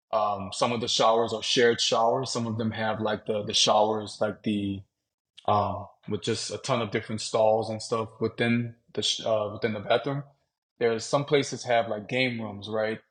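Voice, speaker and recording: A man from Louisiana, a podcast guest, talking about travel in a relaxed, conversational way, often saying "man" and "you know". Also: on the low side, low-quality recording. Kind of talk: casual interview talk.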